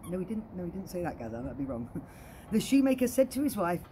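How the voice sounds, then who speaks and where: Female children's entertainer, playful and animated storyteller, softly mellow tone, recorded outdoors. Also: on the low side, a little fast, a little hiss or hum. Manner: playful, expressive. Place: outdoor garden.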